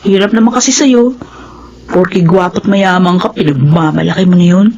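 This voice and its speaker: A woman's voice: on the low side, normal speed, a little mellow, lo-fi sound. An actress playing a principled, modest young woman student, earnest and firm, in a melodrama. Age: young adult. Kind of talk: Filipino melodrama acting.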